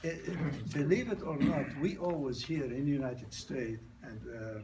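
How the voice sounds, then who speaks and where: A man's voice: mid-range voice, normal speed, recorded from a distance, heavy background noise. A man in his sixties with a non-native accent, who grew up in New York, gives an informal sales presentation. He speaks in broken grammar, repeats and restarts, and tells stories. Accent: non-native English accent. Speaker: product presenter.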